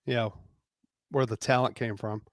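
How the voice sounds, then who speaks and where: A man's voice: mid-range voice, fairly even intonation, normal speed, no echo. A man bantering casually as a co-host, with a dry, teasing manner.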